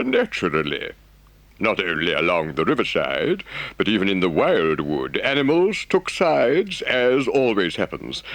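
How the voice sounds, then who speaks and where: A man's voice: sits low, a bit bright, no room sound, voice pushed up, exaggerated voice. A man voicing an earnest, indignant water rat in an audiobook, emphatic and dramatic as he speaks to a friend. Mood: grave, confiding. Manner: emphatic, scolding, dramatic storytelling. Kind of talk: audiobook character voice.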